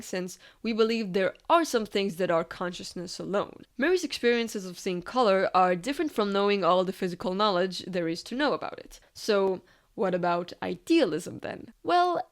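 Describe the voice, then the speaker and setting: A woman narrating a scripted video essay in a conversational, explanatory way with casual asides. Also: medium pitch.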